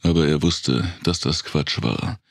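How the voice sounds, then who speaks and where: An extremely low-voiced man narrates a dark thriller audiobook. His voice is husky and full of gravelly fry. He reads scripted prose as a storyteller. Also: expressive, measured pace, no room sound, clean studio sound.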